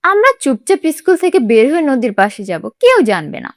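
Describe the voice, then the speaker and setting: A restless little schoolgirl from a moral cartoon, acted out by a woman, quick to fib. The voice is high and lively, in a dry recording. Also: no room sound. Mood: scheming.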